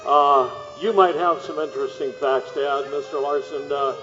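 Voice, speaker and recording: A man playing a rattled news reporter live on the scene in a radio drama, halting and stammering with agitation. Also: fairly high pitch, steady tone, bright, forceful tone, soft background music. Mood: flustered. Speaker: field reporter. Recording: dull phone report, long reverb, ambient noise.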